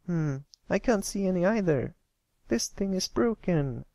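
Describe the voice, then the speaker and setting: A man's voice: high-pitched, animated, measured pace, vocal fry, warm tone, no echo, pitched above the performer's own voice, heavily acted. A man voicing a blunt, skeptical young female cartoon pony with a matter-of-fact manner in a storybook reading.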